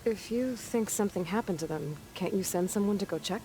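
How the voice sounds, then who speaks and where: An actress playing a young female hunter, a capable outsider who speaks calmly and directly. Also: mid-range voice, fairly quick, slight background noise, lightly acted, poor audio. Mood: determined. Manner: calm, direct, matter-of-fact. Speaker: game heroine. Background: game score.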